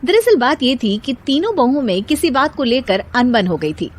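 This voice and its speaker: High-voiced woman telling a story. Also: very animated, normal speed. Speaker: narrator. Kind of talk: scripted children's story narration.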